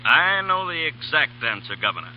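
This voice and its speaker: Stern senator, accusing, 1930s radio play, muffled. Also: male voice, a little high, very animated, moderate pace, a little hiss or hum. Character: stern hostile middle-aged senator. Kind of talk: 1930s radio drama acting.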